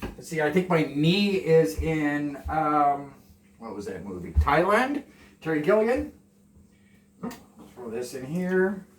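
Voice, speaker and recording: A man's voice: on the high side, very animated, takes it slowly, long pauses, noisy background. A man from Canada in his forties chatting casually while cooking at home, rambling and self-deprecating, a bit nervous on camera. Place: small home kitchen, indoors. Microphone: camera a few steps away. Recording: roomy, distant camera mic.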